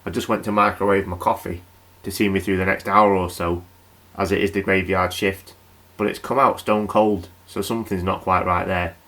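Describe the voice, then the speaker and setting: A man's voice: deep voice, fairly even intonation, moderate pace, a bit bright. An actor playing a chatty, wry male local radio night host. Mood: mildly flustered.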